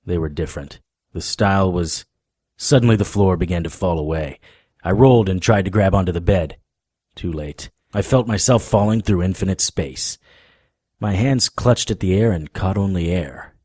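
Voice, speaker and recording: A man's voice: low-pitched, darkish tone, slightly rough, dry recording, clean studio sound. A man playing a haunted, dogged supernatural investigator, telling his story in first person in a hard-boiled audio drama style.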